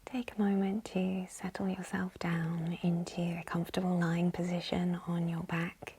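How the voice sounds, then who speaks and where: A woman leading a guided yoga nidra meditation in a soft, whispery, breathy voice, calm and gentle. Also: fairly low pitch, normal speed, slight background noise. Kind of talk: guided meditation, slow hushed instruction.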